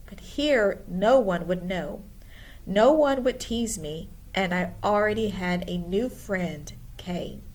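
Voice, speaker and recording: A woman reading a storybook aloud in a deliberate, expressive way. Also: mid-range voice. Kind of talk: storybook read-aloud narration.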